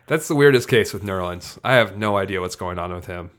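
A man's voice: deep voice, expressive, a little fast, fairly bright tone. A man co-hosting a sports podcast in a casual, opinionated, self-deprecating way. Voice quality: slight trouble saying hard NG sounds.

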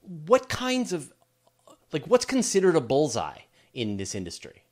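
A man's voice: fairly high pitch, huge pitch swings, unhurried. A middle-aged man hosting a conversational interview, thinking aloud as he builds long, wandering questions. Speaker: interviewer, podcast host.

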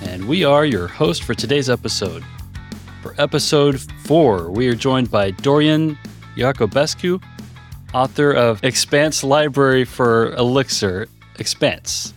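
A man's voice: medium pitch, animated, a little slow, soft background music. A man co-hosting a podcast, moving between scripted introductions and casual interview questions. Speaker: podcast co-host, senior developer.

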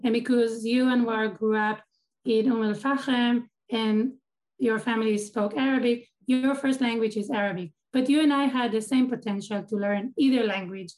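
A woman's voice: fairly high pitch, fairly even intonation, moderate pace, a little mellow, low-quality recording. A woman from Israel whose first language is Hebrew, an academic and activist giving thoughtful interview answers and often restarting her sentences.

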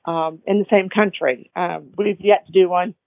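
High-voiced man co-hosting over a phone line. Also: steady tone, normal speed, no echo. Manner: brief, agreeable responses. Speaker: podcast co-host. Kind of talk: casual podcast chat.

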